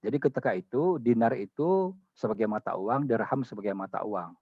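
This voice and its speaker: Low-voiced Indonesian man lecturing, dull audio. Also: expressive, a little fast, no room sound. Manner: didactic, punctuates points with short check-in tags. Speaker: lecturer, guest speaker at a forum. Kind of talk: explanatory lecture on religious law.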